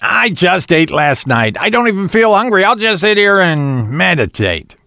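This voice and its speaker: A man's voice: high-pitched, sing-song, moderate pace, gravelly, bright, forceful tone, no room sound, clean studio sound, pitched above the performer's own voice, exaggerated voice. A man voicing a sly, childish demon with a wheedling, mock-innocent manner in a storybook narration. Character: childish sly demon, falsely sweet, man-eating and menacing.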